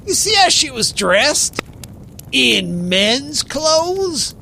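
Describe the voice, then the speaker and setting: An actor playing a folksy, wary Old West bandit with a gravelly drawl in an audio drama. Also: male voice, very high voice, big pitch swings, extremely slow, bright, forceful tone, a little hiss or hum, exaggerated voice. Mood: gloating. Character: folksy male western bandit sidekick, wary and dim. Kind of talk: western audio drama for children.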